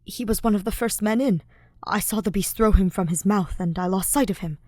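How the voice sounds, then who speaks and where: A woman's voice: mid-range voice, brisk, dark tone, no echo, lightly acted. Female reader as rattled rank-and-file soldier, deferential, defensive, dutiful, audiobook acting, dry recording. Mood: grave, deferential. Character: dutiful, shaken male soldier reporting to superior. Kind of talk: fan fiction audiobook character acting.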